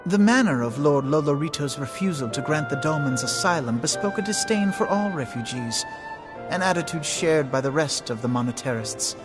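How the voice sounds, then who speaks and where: Male actor as young political advisor, fairly high voice, articulate, formal, composed, game cutscene, music behind. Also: animated, close to an everyday voice. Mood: pointed, concerned. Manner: articulate, formal, explanatory, measured. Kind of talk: scripted video game cutscene dialogue.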